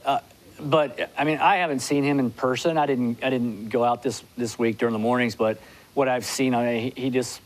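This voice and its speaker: A man's voice: medium pitch, fairly even intonation, low-quality recording. Man guest analyzing horse races. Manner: talks in quick bursts with many restarts and "you know"s. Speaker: guest horse racing handicapper. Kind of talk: studio interview. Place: TV studio with city skyline backdrop. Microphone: lapel mic.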